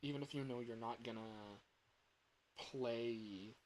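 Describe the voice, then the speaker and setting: A young man livestreaming in a casual chat, rambling and halting as he corrects himself mid-sentence. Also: medium pitch, steady tone, darkish tone, a little hiss or hum, rough recording. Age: young adult. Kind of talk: casual chat with stream viewers.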